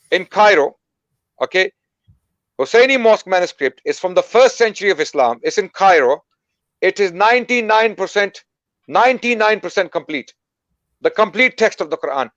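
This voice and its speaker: A man's voice: sits high, a bit bright, no echo. A man giving an emphatic talk and repeating his key points. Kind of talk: recorded talk played back. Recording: dull lo-fi clip.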